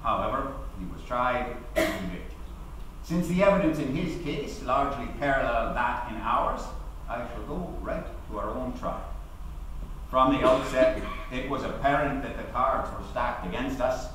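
An actor playing a defiant labour activist in a theatrical dramatic reading, a middle-aged man with emphatic, oratorical, deliberate phrasing. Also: medium pitch, big pitch swings, a bit bright. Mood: indignant, measured. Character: defiant labour activist recounting his sedition trial. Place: large hall, at a lectern on stage. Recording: echoey hall, distant mic, faint noise.

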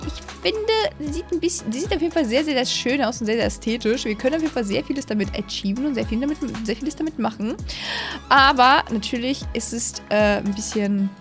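A young woman with a high voice gives casual, chatty commentary on a game. She sounds enthusiastic and speaks directly to her viewers, close to the microphone, with music playing behind her. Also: expressive, fast talker, a bit bright. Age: young adult. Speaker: host of gaming videos. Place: small indoor streaming room at home. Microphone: close desk microphone on arm.

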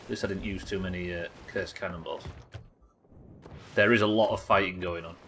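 British guy live streaming games, sharp bright voice, rambly and sweary, bit of noise behind him. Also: low-pitched, steady tone, fairly quick. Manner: sweary, rambling, self-questioning. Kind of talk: casual gameplay commentary, chatting to viewers. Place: small indoor streaming room.

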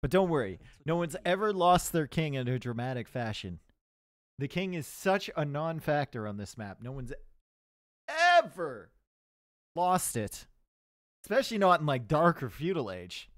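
A man's voice: fairly high pitch, unhurried, dry recording. A man with a Canadian accent giving chatty, joking live commentary on an esports match, with dry sarcasm. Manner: loud excited shouting in tense moments. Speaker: esports caster. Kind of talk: live game commentary with chat banter.

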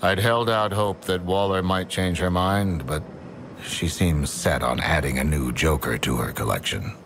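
Snooty genius game villain, really deep voice, condescending, noisy room. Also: male voice, a little slow. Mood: disdainful. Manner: articulate, condescending. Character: cold, haughty male genius villain, weary and disdainful. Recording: loud ambient machinery hum behind.

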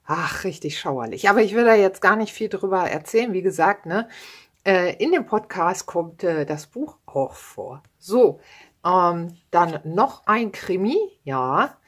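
A woman in her thirties chats about her reading pile in a room at home. She talks slowly in a lowish voice. She fills her sentences with hesitations and tag questions and laughs at herself now and then. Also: expressive, fairly bright tone. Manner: chatty, many fillers and tag questions, self-deprecating laughs. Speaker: book vlogger. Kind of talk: casual book chat. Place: home room with bookshelves, indoors.

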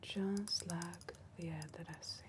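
A young woman playing a wary, bossy criminal who acts as hypnotist in a whispered ASMR roleplay, soft, slow and deliberate. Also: fairly low pitch, fairly even intonation, noisy background, close to an everyday voice. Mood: hypnotic, soothing. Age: young adult. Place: dim indoor room.

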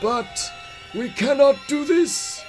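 An old wizard headmaster, grave and indignant in a comic reading. His voice is very high and slow, with music playing behind it. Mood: grave indignation. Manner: slow, solemn, emphatic. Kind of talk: comedic dramatic reading of fan fiction.

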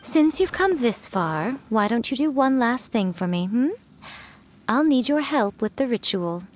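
A woman's voice: a little high, very animated, a little slow, a little hiss or hum. A woman voicing a sly, centuries-old fox spirit priestess who teases and enjoys herself, in a video game. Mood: teasing. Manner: teasing, amused, playful.

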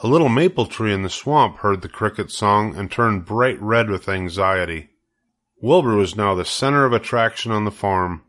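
Male children's audiobook reader, lowish pitch, measured and deliberate delivery. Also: animated, a bit bright. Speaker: storybook reader. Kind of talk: audiobook narration of a children's story.

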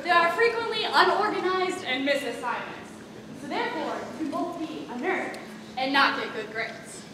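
A woman's voice: sits high, expressive, moderate pace. A teenage girl acting a matter-of-fact, know-it-all student who lectures in a school play. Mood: lecturing. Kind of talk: high school stage acting. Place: large school theatre stage, far camera. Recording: distant echoey auditorium, audience noise.

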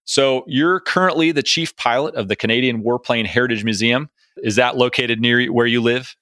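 A man's voice: mid-range voice, normal speed, pushed and bright, no room sound, clean studio sound. A man cohosting an aviation podcast, chatty and upbeat, with a few jokes between topics. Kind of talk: podcast monologue and interview.

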